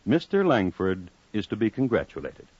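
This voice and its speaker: An actor playing a pompous, genteel crime historian, narrating in a measured, formal 1950s radio style. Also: male voice, on the low side, wildly swooping pitch. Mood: dryly formal. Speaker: host-narrator. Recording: dry, muffled old radio recording.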